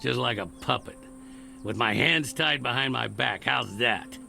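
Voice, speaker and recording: A gravelly, defiant Old West outlaw. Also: male voice, medium pitch, measured pace, pushed and bright, faint music behind, exaggerated voice. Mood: cocky, defiant. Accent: American Western. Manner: taunting, folksy, blunt. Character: defiant, sneering 1880s Western outlaw. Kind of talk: radio drama acting.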